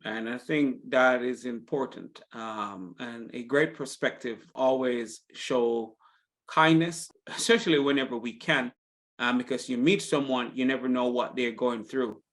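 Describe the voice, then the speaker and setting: A man's voice: medium pitch, fairly even intonation, measured pace, a bit bright. A man hosting an interview, affirming his guest and speaking with frequent ums and uhs. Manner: affirming, many fillers. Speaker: podcast host. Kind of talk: interview conversation.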